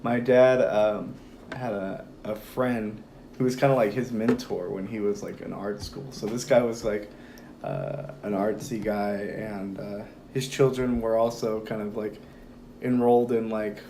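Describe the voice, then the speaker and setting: A hoarse young man talking as a guest in a relaxed, rambling conversation with lots of fillers. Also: lowish voice, a little slow, slight background noise. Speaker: podcast guest. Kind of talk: casual conversation.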